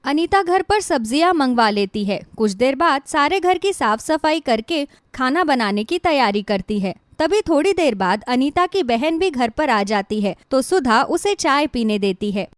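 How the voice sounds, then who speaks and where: A woman's voice: high-pitched, animated, a little slow, few pauses, dry recording, studio quality. A woman giving scripted narration for a cartoon moral story.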